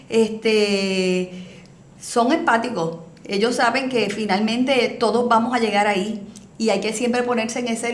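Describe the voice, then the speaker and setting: Earnest Puerto Rican woman in her sixties. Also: on the high side. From Puerto Rico. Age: 60s. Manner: earnest, halting with fillers. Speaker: guest. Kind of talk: interview answers. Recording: echoey room, faint noise.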